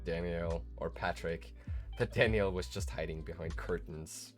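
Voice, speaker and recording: A man's voice: low-pitched, a little slow, faint music behind. A tired man hosting a casual live tutorial, thinking aloud in a rambling, self-deprecating way. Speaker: livestream host.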